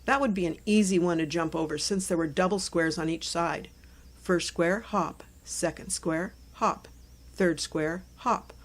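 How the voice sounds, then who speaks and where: Woman narrating a children's storybook. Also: on the low side. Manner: clear simple phrasing for young children. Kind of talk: scripted children's read-aloud narration.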